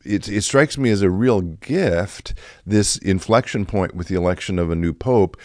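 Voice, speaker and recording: A man in middle age with a low, slightly raspy voice talks to his listeners like a pastor in a podcast, circling back with "um" and restarts. The recording is dry and close, with no room around him. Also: normal speed, a little mellow, no echo. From Chicago area, USA. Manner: conversational, many fillers and restarts. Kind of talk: reflective podcast talk.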